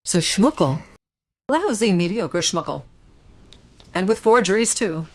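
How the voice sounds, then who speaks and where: An actress playing an anxious, suspicious wife who questions pointedly in a radio play. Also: female voice, mid-range voice, animated, a little slow, a little mellow. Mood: scornful.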